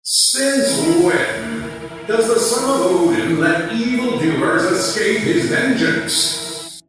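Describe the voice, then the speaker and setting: A man's voice: high-pitched, lively intonation, slow, fairly bright tone, soft background music, exaggerated voice. Man as echoing, stern king of gods. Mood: stern, wrathful. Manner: commanding, formal pronouncements. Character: stern, regal father of the gods, unforgiving. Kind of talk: comic book audio dramatization. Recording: heavily echoing, distant voice.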